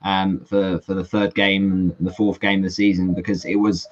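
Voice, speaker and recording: A man's voice: low voice, little pitch movement, moderate pace, dull audio. A man from England talking as a guest fan, discussing football in an earnest, analytical, casual way over an online call.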